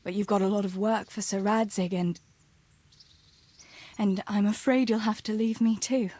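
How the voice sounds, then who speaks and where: An actress playing a tender, gently teasing young village woman in a medieval video game, soft-spoken and earnest. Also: mid-range voice, steady tone, normal speed, no room sound, lightly acted. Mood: tender, worried. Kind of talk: naturalistic video game dialogue acting.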